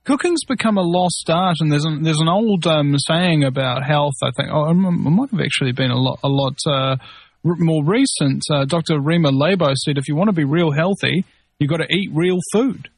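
A man's voice: a little high, moderate pace, slightly rough, no room sound. Earnest, opinionated male host. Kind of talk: talk radio chat.

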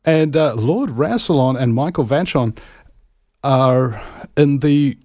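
Male talk radio host from New Zealand: casual, rambling, theatrical emphasis, mid-pitched, dry close sound. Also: lively intonation, slow, warm tone, dry recording. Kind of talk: casual live talk show monologue.

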